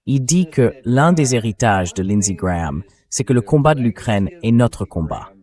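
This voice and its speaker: A man's voice: mid-range voice, lively intonation, moderate pace, a little mellow. A man hosting a political discussion, in a translated voice-over read by a synthetic voice. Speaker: host.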